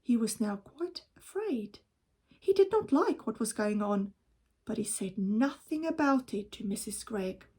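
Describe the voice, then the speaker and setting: A woman reading a children's story aloud as a teacher would, in a measured, expressive storytelling manner. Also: fairly high pitch, husky.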